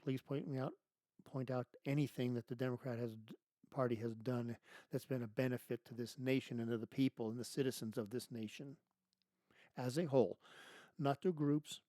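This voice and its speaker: Guy ranting on his own show, lots of rhetorical questions, voice going a bit hoarse, dark mellow tone, dead dry. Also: medium pitch, steady tone, moderate pace, dry recording. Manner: emphatic, rhetorical questions, many restarts and fillers. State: losing his voice. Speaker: podcast host. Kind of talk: political commentary monologue.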